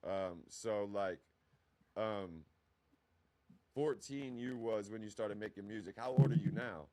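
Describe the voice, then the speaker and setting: A man's voice: fairly low pitch, slow, mellow. A man in his mid-twenties hosting a podcast, asking questions in loose, rambling casual talk. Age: 20s. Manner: loose, rambling, lots of "you know what I mean". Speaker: podcast host. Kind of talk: casual interview chat.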